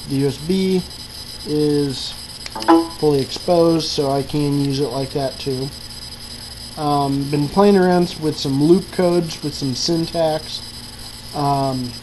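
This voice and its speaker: A woman giving a casual, improvised walkthrough of a hobby project, hesitant and thinking aloud. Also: very deep voice. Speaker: hobbyist maker showing an electronics project. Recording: clearly noisy with steady mains hum.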